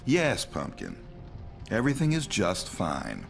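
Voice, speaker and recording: Game politician: oily genial uncle act, gravelly, slow, hugely animated pitch. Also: male voice, loud music behind the voice, heavily acted. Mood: falsely sweet. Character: genial, scheming male politician playing doting uncle. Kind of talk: video game cutscene acting.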